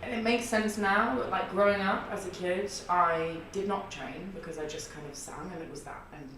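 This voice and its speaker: A young woman with a husky, low voice, chatting as a podcast guest and rambling through stories with frequent laughs. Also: moderate pace, a crowd in the background. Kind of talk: casual interview chat. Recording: echoey room, distant mic, faint noise.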